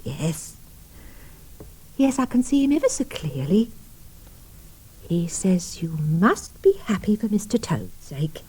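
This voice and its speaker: Breathy, theatrical spirit medium. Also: female voice, fairly low pitch, big pitch swings, slow, long pauses, full character voice, low-quality recording. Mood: entranced. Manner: breathy, dramatic hushed delivery. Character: theatrical, sentimental woman spirit medium, gentle and coaxing. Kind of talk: radio play acting.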